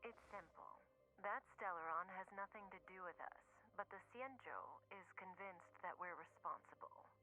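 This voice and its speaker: A woman voicing a calm, enigmatic outlaw hunter with playful menace in a video game. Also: on the low side, moderate pace, faint music behind, poor audio. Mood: coolly nonchalant. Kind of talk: scripted video game acting.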